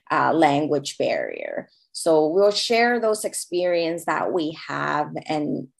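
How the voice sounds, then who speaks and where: Slow low-voiced woman with Cantonese accent. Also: creaky. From Hong Kong. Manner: halting, many fillers and restarts. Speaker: guest. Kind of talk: interview conversation.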